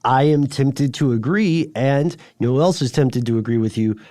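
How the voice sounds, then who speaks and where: A man co-hosting a podcast, explaining things conversationally in measured, wordy sentences with playful asides. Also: mid-range voice, dark tone, no room sound, studio quality. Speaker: podcast co-host.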